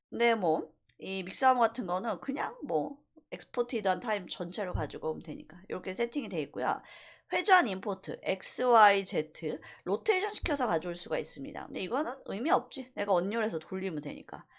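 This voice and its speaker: A woman instructor giving an informal software tutorial, explaining step by step and stressing key points. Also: medium pitch. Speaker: university animation instructor.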